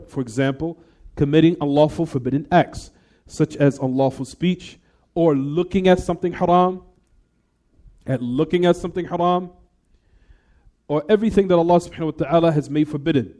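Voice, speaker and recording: A man's voice: on the high side, warm tone. A man teaching a religious lesson, reading a text aloud and explaining it, often asking the class rhetorical questions. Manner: reads quoted text then explains, asks the class rhetorical "what?" questions; switches between English and recited Arabic. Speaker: Islamic teacher.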